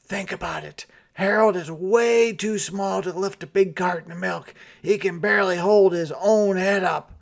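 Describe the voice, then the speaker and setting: A man's voice: sits high, unhurried, creaky, hardly any pauses, voice pushed up. A man voicing a stubborn, agitated old Santa Claus in an emphatic, dramatic way for a storybook read-aloud. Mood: dramatic suspicion.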